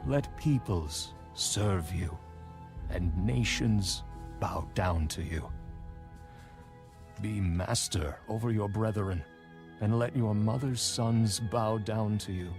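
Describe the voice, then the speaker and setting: An actor playing a solemn, dying old father in a dramatised audio Bible, giving blessings in a deliberate, gravelly voice. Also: lowish voice. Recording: background music under the voice.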